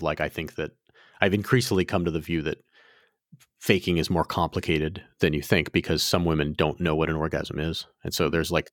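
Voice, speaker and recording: A man's voice: sits low, a bit bright, dry recording, clean studio sound. A man co-hosting a podcast, talking frankly and rambling, with many restarts. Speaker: podcast co-host. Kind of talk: casual podcast chat.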